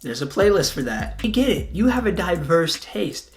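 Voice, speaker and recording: Video essay host, mid-pitched, conversational explainer delivery, faint music underneath. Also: very animated, normal speed.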